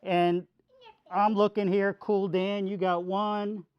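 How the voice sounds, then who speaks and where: A man's voice: high voice, little pitch movement, slow, smooth. A man speaking as a pastor to children, explaining simply in a folksy way with repetition. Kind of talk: children's sermon with a puppet. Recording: dull lo-fi sound.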